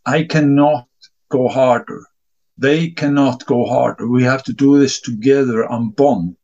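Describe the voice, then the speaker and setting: A man's voice: medium pitch, fairly even intonation, measured pace, a little mellow, lo-fi sound. A middle-aged man from Iceland, a discus coach answering interview questions earnestly in non-native English, often repeating words as he gathers his thoughts. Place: home.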